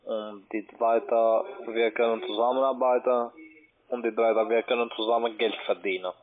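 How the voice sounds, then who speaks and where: A man with a foreign accent working as a call-centre agent, speaking in halting, choppy phrases. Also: mid-range voice, steady tone. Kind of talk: halting sales phone call. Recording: tinny narrow-band phone line.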